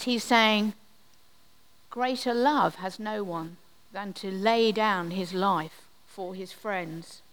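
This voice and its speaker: A woman leading a communion service, giving a gentle, reflective sermon with measured pauses. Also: medium pitch. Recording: long hall reverb.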